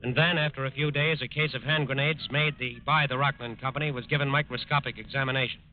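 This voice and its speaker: A man's voice: medium pitch, fairly even intonation, narrow, tinny audio, close to an everyday voice. Man as solemn old-time radio crime narrator. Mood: solemn, dramatic. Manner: measured, emphatic, formal. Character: authoritative, solemn male crime drama narrator. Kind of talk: scripted 1940s radio narration.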